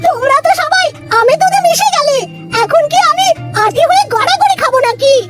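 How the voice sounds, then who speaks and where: A woman voicing an excitable fox sidekick in a cartoon, whining and agitated. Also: very high-pitched, fairly quick. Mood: left out. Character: whiny, excitable fox sidekick, lion's nephew. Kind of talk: cartoon voice acting. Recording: loud music behind the voice.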